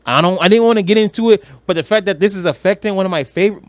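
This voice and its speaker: Higher-pitched man, slangy podcast co-host. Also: racing, no room sound. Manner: slangy, profane, opinionated. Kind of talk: casual chat about movies and games.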